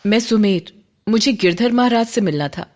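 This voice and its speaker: A woman's voice: medium pitch, animated, normal speed, a little mellow, close to an everyday voice. A woman voicing a courteous, eager young man with questions in an audio drama. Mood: polite, searching. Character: polite, eager young village man on a search.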